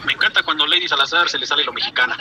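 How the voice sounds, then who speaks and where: A man's voice: on the high side, racing, loud music behind the voice. A man, a radio listener, talking casually in a voice message recorded on a phone.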